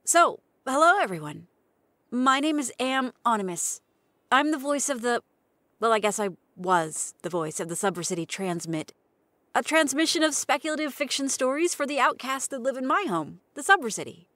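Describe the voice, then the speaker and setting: Expressive woman narrator, dry close sound. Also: high-pitched, normal speed, dry recording. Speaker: host and narrator of a fiction podcast. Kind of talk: expressive first-person story narration.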